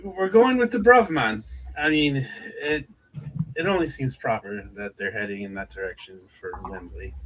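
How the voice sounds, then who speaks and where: A man in a casual chat, speaking in a halting, hesitant way. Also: medium pitch, lively intonation, a bit bright, rough recording.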